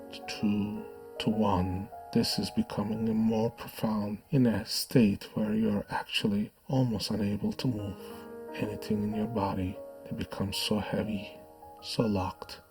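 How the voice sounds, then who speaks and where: A man with a low voice leads a guided hypnosis session as the hypnotist. His delivery is soothing, hushed and measured, with lingering pauses between phrases. Faint music plays behind him.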